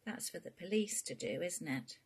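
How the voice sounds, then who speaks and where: A woman's voice: fairly low pitch, lively intonation, normal speed, husky. A woman voicing a protective, affectionate mum in a storybook reading, firm and plain-spoken. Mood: worried, protective. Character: protective, affectionate working-class mum, middle-aged or older.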